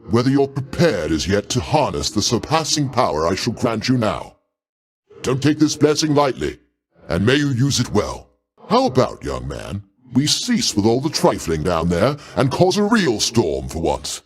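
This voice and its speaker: Zeus, a grandiose, sweepingly expressive god king. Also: mid-range voice, moderate pace, husky, exaggerated voice. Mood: commanding, rousing. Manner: grandiose, avuncular, courtly old-fashioned diction. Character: Zeus, mighty older god king, genial doting uncle. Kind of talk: theatrical video game voice acting.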